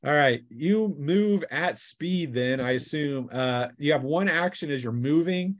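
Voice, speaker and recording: A man acting as game master, narrating a tabletop adventure off the cuff in a halting, explanatory way. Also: fairly high pitch, expressive.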